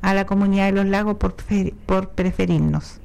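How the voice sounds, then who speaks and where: A Chilean woman speaks into a studio mic as a radio guest. She talks in a chatty way with frequent restarts, and her voice sits at a middle pitch. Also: fairly even intonation, brisk, creaky, darkish tone. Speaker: guest, co-owner of a sports venue. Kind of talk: radio interview. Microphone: studio microphone.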